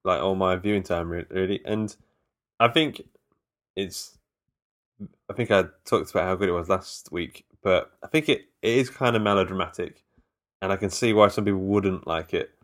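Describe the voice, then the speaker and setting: A man's voice: low voice, moderate pace. A man hosting a horror film podcast, chatting casually with his co-host and often trailing off mid-sentence. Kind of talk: casual chat.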